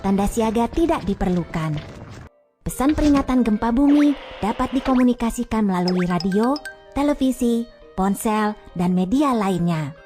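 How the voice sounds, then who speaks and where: Woman playing a young female tour guide, friendly, patient explainer, mellow, slightly high voice, faint music. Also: close to an everyday voice. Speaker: cartoon guide explaining earthquake warnings. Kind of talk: animated kids' educational cartoon dub.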